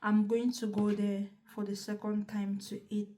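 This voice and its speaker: Young woman from northern Cameroon, casual vlogger, warm low-key tone, flat delivery, chatty with many restarts. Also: moderate pace. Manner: chatty and repetitive, with frequent restarts.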